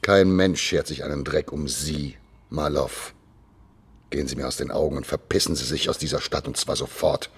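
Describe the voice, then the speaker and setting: An actor playing a contemptuous Soviet KGB boss in a noir radio drama, sneering, mock-polite and threatening, with a husky voice. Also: male voice, extremely low pitch, steady tone, moderate pace, heavily acted.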